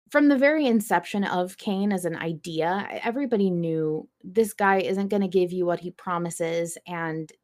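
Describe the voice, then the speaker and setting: A woman's voice: on the low side, a little mellow. A woman co-hosting a podcast, talking casually through her thoughts on a story. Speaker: podcast co-host. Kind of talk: casual discussion of a fiction podcast.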